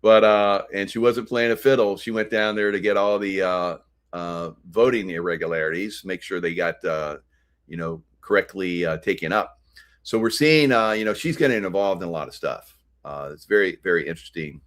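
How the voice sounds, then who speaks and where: An American man hosting an unscripted political commentary, speaking in a halting, rambling way. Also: sits low, fairly bright tone. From United States. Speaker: host of a political commentary show.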